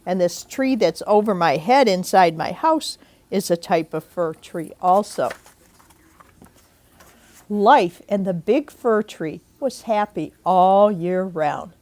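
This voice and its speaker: Slow-reading older woman with lively pitch. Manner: slow and deliberate, with asides and questions to young listeners. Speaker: grandmother reading a picture book aloud. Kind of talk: expressive children's storybook read-aloud. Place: indoors at home.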